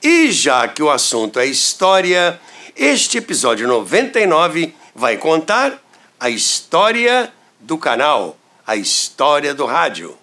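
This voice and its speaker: A man's voice: on the high side, wildly swooping pitch, takes it slowly, pushed and bright. An older Brazilian man presenting scripted narration in a dramatic, emphatic storytelling manner.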